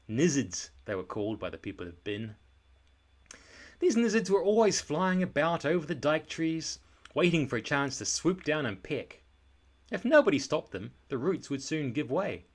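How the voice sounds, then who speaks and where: Expressive man reading a children's storybook. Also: mid-range voice. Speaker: storybook narrator. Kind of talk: expressive scripted read-aloud narration for children.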